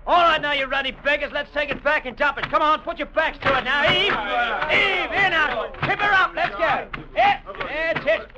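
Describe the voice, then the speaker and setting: Casting: rough Cockney work boss, very high voice, bossy and wheedling, noisy vintage radio drama. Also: male voice, normal speed, dull audio. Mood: bossy hollering. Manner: hollering, chivvying workers. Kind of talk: 1950s radio drama acting.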